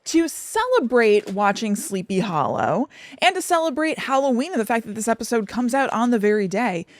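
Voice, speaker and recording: American woman with lively, swooping pitch. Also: moderate pace, no room sound. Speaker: podcast co-host. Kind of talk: casual chat about a film.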